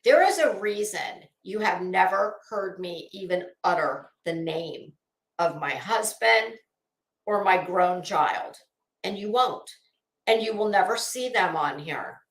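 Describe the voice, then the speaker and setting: A woman's voice: medium pitch, lively intonation, a little slow. A middle-aged American woman doing casual livestream commentary in a blunt, opinionated, emphatic way, swearing freely. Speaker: YouTube commentary host. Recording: roomy, echoey room sound.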